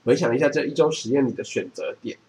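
A man with a Taiwanese Mandarin accent, a podcast co-host, reads aloud and comments casually in an easygoing, measured way. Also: mid-range voice, darkish tone, a little hiss or hum.

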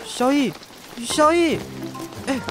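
A man's voice: very high-pitched, music in the background. A man voicing a bubbly young heir. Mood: playful. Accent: Taiwanese Mandarin. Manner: playful, eager, quick to laugh and fluster. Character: cheerful impulsive young rich male heir. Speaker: voice actor. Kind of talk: amateur radio drama acting.

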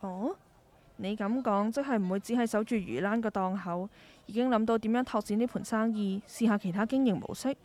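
A woman's voice: medium pitch, a little fast, a little mellow, lightly acted. A woman playing a caring, world-weary middle-aged mother who runs a market stall, reflective and concerned, in a Hong Kong Cantonese radio drama. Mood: curious. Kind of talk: scripted radio drama dialogue.

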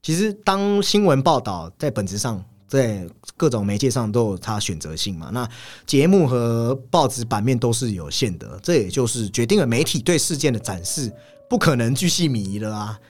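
A man co-hosting a podcast, casually discussing film in an analytical way and often repeating his agreement in quick strings. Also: medium pitch, sing-song. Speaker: podcast co-host. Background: background music.

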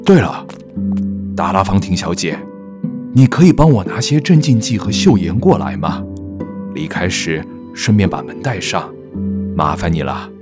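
A man's voice: sits low, animated, moderate pace, pauses often, over loud music. A man playing a worried, courteous middle-aged father in a radio drama. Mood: gentle, courteous.

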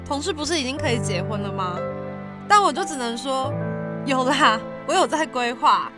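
A woman acting an insecure young girlfriend in an audio drama, with a Taiwanese Mandarin accent and an expressive delivery. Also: high voice, normal speed, music in the background. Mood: sad, resigned. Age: young adult.

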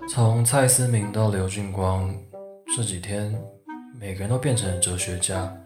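A man playing a wordy, lovestruck high school boy in a radio drama, given to mock-solemn, theatrical turns. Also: steady tone, moderate pace, natural voice. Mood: wry musing. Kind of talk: radio drama dialogue and inner monologue. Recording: music behind the voice.

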